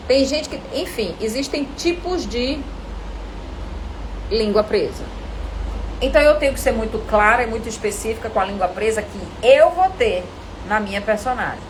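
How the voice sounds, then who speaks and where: Brazilian woman teaching live, higher-pitched voice, noisy background. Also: moderate pace, long pauses. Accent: Brazilian Portuguese. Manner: animated, didactic, asks and answers own questions. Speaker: acting coach teaching a live online class. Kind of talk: informal live lesson, chatting with viewers.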